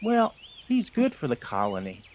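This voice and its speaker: A man's voice: on the high side, huge pitch swings, moderate pace, darkish tone, slight background noise. A man voicing a seasoned, practical field mouse mentor in an audio drama, instructive and matter-of-fact. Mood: instructive, firm. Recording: faint outdoor ambience with birdsong.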